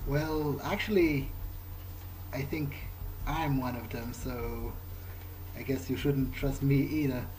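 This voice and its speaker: A man roleplaying in character through a video game, asking questions in a halting, thoughtful, conversational way. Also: mid-range voice, fairly even intonation, darkish tone, slight background noise, rough recording. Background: game music.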